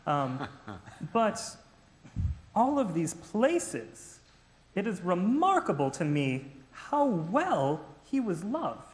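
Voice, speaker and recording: A man's voice: high voice, big pitch swings, drawn-out words, full character voice. A man playing a fussy ancient male geographer in a church skit, lecturing theatrically. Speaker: skit actor. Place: church sanctuary. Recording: echoey church hall.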